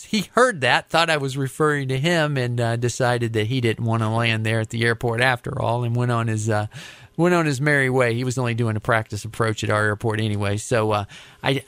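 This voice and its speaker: An American man recounting his work as an air traffic controller in a conversational, deliberate, explanatory way. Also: mid-range voice, a bit bright, smooth, no echo. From United States. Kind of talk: conversational storytelling interview.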